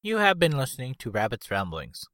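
A man reading a scripted show outro in a slow, deliberate way, carefully spelling things out. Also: medium pitch, wildly swooping pitch, pushed and bright, no room sound, studio quality. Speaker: show announcer.

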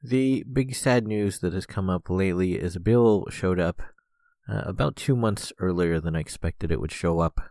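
A young man with a very deep, low voice, talking through a stuffy head cold. He rambles casually on his solo podcast and leans on frequent "uh" fillers. The recording is close and dry, with no room sound. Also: moderate pace, warm tone, dry recording. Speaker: podcast host.

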